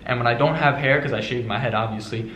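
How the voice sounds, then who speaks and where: A young man from New York runs through his hat collection in a relaxed, chatty way, full of fillers and slang. He talks at a quick clip. His voice sits in a middle register, and the room gives it a noticeable echo. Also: mid-range voice, a little hiss or hum. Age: young adult. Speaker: fashion vlogger. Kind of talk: casual hat collection haul. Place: bedroom with shelves, indoors. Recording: echoey room.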